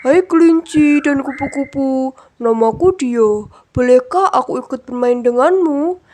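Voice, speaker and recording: A woman's voice: high-pitched, a little slow, darkish tone, a little hiss or hum. A woman voicing an earnest, polite, lonely young village boy in a children's storybook. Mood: friendly.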